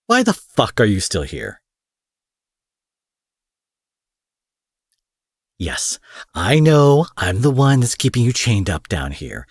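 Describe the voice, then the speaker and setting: Guy doing a menacing villain for an audio roleplay, swings all over the place, rough husky voice, sweary and sarcastic. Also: medium pitch, dry recording, clean studio sound, exaggerated voice. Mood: angry, impatient. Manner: profane, taunting, theatrical, mock-affectionate. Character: angry, menacing, sarcastic supervillain captor, adult man. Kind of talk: roleplay audio drama monologue.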